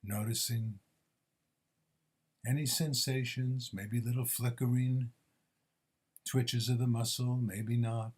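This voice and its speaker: A man's voice: medium pitch, steady tone, husky. Man guiding a mindfulness meditation. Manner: measured, gently instructing, pausing between short phrases. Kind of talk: guided body scan meditation.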